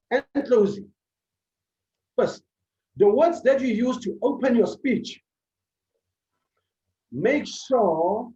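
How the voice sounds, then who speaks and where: High-voiced man giving a slow, emphatic speech. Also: dark tone. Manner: short emphatic phrases with repetition. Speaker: public speaking club speaker. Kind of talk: motivational speech. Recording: echoey room, dull sound.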